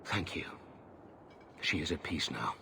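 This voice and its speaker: A man's voice: very deep voice, animated, measured pace, vocal fry, bright, forceful tone, slight background noise, heavily acted. A man playing a stern, formal knight who is a grieving father, in a game cutscene. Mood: grieving, remorseful. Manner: formal, solemn. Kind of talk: dramatic game cutscene acting.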